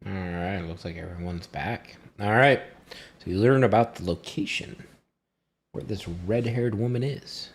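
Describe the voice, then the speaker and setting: A man improvising narration as a game master, halting often as he finds his words. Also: sits low, husky. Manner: halting, pausing to find words.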